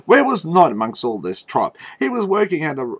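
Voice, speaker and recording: A man telling a mock fairy tale in a theatrical, tongue-in-cheek way, with halting pauses and knowing asides. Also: fairly high pitch, wildly swooping pitch, a bit bright, husky, dry recording. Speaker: storyteller.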